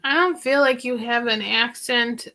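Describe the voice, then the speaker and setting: Chatty woman host with creaky voice. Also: on the high side, measured pace, bright, forceful tone. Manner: rambling, heavy use of "like" fillers. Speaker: host of a vintage thrifting channel. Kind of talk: casual livestream chat.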